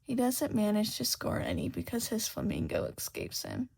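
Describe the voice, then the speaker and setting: A woman's voice: medium pitch, wildly swooping pitch, moderate pace, vocal fry, a little mellow, husky. A woman reading a scripted story aloud as an amateur audiobook narrator. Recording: faint background noise.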